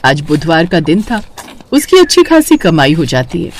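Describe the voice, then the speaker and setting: A woman narrating a scripted moral story as a storyteller, in an expressive style. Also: deep voice, moderate pace, slight background noise.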